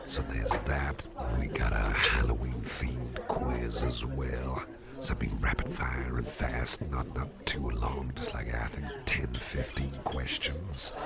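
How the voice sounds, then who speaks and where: A man playing a friendly, rambling boss in an ASMR roleplay, chatting personally to the listener in a deep, gravelly voice. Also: fairly even intonation, exaggerated voice. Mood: chummy. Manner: rambling, chummy, halting, repeats phrases. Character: friendly, rambling male boss at a Halloween party. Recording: music playing behind the voice.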